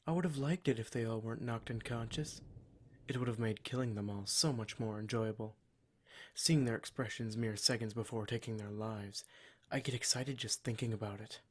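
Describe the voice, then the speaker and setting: A man's voice: on the low side, fairly even intonation, moderate pace, lightly acted. A man playing a cruel, smug demon in a scripted ASMR roleplay, speaking in a formal, mock-polite and menacing way. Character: cruel, smug male demon, taunting captor of adventurers.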